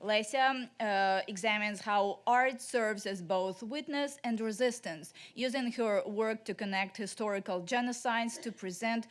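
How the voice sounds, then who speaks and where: A woman's voice: medium pitch, a little slow, few pauses. A woman chairing a conference panel, reading a speaker introduction with frequent fillers. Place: conference room.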